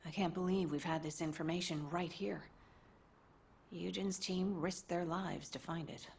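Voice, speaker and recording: A capable female squad veteran from a video game. She has a low-pitched voice and an earnest, sombre delivery. Faint ambient noise sits behind her. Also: steady tone, measured pace, gravelly, a little mellow. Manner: serious, earnest.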